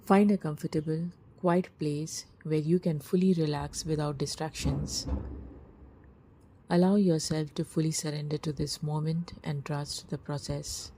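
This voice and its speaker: A woman narrating a scripted guided meditation in a soft, breathy, husky voice, with a slow, soothing, hushed delivery and long pauses. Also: sits low, rough recording.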